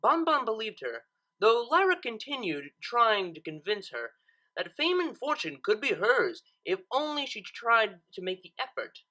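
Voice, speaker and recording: A woman reading a scripted story aloud as narrator, with expressive delivery. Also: a little high, measured pace, rough recording. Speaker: fan fiction narrator.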